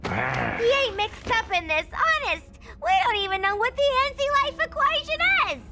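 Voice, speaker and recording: A woman's voice: very high-pitched, huge pitch swings, moderate pace, very noisy, exaggerated voice. A woman playing a brash, wacky female clown crook in a video game, slangy and exaggerated. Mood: defensive. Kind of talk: animated video game cutscene acting. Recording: loud music behind the voice.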